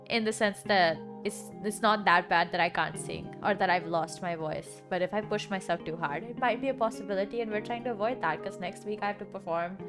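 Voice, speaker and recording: A young woman streamer with a dry, sick-sounding throat, chatting casually and rambling with lots of filler words. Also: on the high side. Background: background music.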